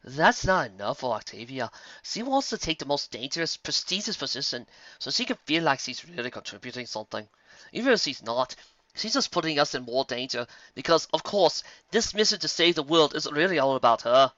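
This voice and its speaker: A reader doing a sarcastic, sharp-tongued mare. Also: medium pitch, sing-song, brisk, no echo, muffled recording. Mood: scornful. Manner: cutting, sarcastic.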